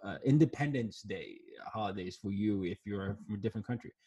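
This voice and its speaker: A man's voice: fairly low pitch, fairly quick, mellow, slightly rough. A man from Nigeria, an author and guest, talking earnestly and reflectively in a conversation and often repeating words as he starts a thought. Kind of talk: conversational interview.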